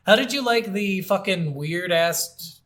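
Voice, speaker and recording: A man's voice: high-pitched, expressive, unhurried, a bit bright. A man hosting a gaming podcast in casual, unscripted chat, swearing freely and acting out his exasperated reactions. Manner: profane, exasperated ranting; acts out his reactions in mock quotes. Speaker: podcast host reviewing video games.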